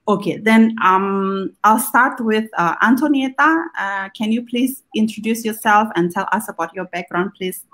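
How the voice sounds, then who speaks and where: A woman hosting a live video talk, speaking casually with many pauses and fillers. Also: mid-range voice, fairly bright tone. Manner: hesitant, many ums and uhs. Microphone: video call.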